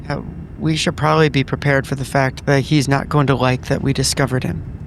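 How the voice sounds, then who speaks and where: A man's voice: medium pitch, little pitch movement, a little fast, gravelly, slight background noise, low-quality recording. A man improvising in character as a tabletop game player, with an understated, slightly hesitant manner.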